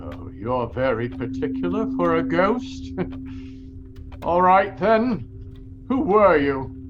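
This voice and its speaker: An actor playing a grumpy, miserly old employer in an audio play, with a grudging, curmudgeonly manner. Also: male voice, a little high, very animated, slow, muffled recording, heavy background noise. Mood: testy, nervous.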